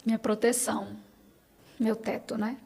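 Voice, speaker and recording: A woman's voice: fairly high pitch, level tone, normal speed, creaky, mellow, slightly rough. A Brazilian woman speaking as a podcast guest, earnest and emphatic, in a conversational, personal style with encouraging exhortations. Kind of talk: conversational interview, personal testimony.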